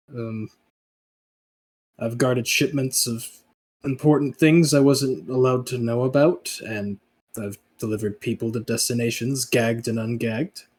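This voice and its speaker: Man playing a husky, laconic hired gun. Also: medium pitch, a little mellow. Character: laconic, obedient male hired gun, military veteran. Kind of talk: improvised in-character tabletop roleplay.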